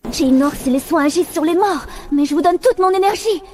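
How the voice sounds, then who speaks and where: A woman playing a devoted, selfless young healer in a dubbed animated series. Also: extremely high pitch, brisk. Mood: earnest.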